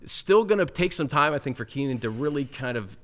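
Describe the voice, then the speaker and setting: Man, mid-pitched, easygoing host who keeps the football talk moving. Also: animated, fairly quick, fairly bright tone. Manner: conversational, steers topics along. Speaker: show host. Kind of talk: casual sports talk.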